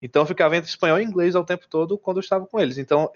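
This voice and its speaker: A man's voice: sits high, very fast. Brazilian man, casual storyteller, rambling and slangy, lo-fi dull recording. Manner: rambling, many restarts and slang. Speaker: guest telling about living abroad. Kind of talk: casual chat.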